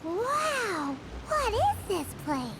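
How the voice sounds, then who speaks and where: Game sidekick: tiny squeaky female fairy, childlike, excitable, very swoopy, unhurried delivery. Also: noisy background, exaggerated voice. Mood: curious, amazed. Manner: speaks of herself in third person, exclaims. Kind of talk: video game voice acting.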